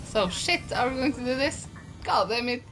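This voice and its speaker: A woman's voice: a little high, animated, moderate pace, noisy background. A Norwegian woman with a Norwegian accent, chatting casually and swearing freely while she plays and answers her viewers. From Norway. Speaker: game streamer. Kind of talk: casual gaming commentary.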